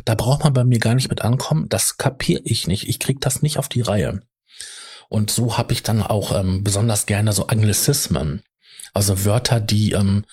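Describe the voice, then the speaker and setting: A man with a rather low, very husky voice talks off the cuff. He rambles in a halting, colloquial way, breaking off and picking up his thread again, with a self-deprecating manner. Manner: halting, self-interrupting, tangential. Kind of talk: casual rambling monologue.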